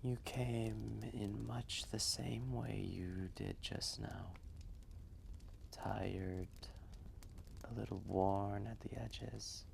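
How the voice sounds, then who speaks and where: Man voicing a deep, gravelly ancient dragon. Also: animated, slight background noise, heavily acted. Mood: tender, wistful. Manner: soft, breathy, measured, soothing. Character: ancient, gentle, wistful dragon storyteller. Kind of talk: scripted fireside roleplay storytelling. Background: quiet fireplace ambience.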